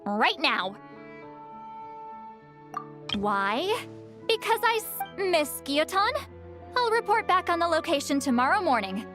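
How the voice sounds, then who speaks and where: A proud young game heroine in a high voice that swings widely. She is huffy, theatrical and easily flustered, and takes her time over words. Faint music sits underneath. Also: very animated, slow pace, long pauses, full character voice. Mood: flustered, bossy. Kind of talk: video game voice acting.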